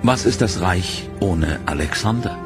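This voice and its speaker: A man narrating a history documentary in a measured, solemn scripted voice-over. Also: deep voice, animated. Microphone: studio voice-over. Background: loud dramatic music.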